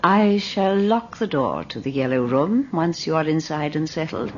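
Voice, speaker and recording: A woman's voice: deep voice, expressive. An actress playing an imperious, sly, devout old lady in a radio drama, theatrical and commanding. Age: older. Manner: theatrical, commanding, brisk dismissals. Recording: dull, noisy old radio recording.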